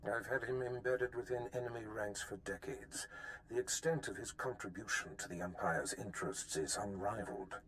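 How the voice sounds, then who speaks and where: A male actor voices an imperious Sith master for a video game, low and coldly menacing. His delivery is measured and condescending, over a faint rumble. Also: a bit bright, natural voice. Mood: smug approval.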